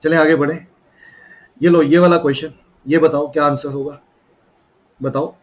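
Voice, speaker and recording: A man's voice: on the high side, fairly even intonation, moderate pace. A young man with an Indian accent and a sore throat, teaching a math lesson in a coaxing way and calling his students children.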